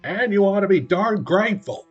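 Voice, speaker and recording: A man voicing a huge, bossy mother Cyclops who growls and scolds loudly and slowly. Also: on the high side, very animated, husky, lo-fi sound, soft background music, pitched above the performer's own voice, heavily acted. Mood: scolding. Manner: growling, loud, slow and scolding.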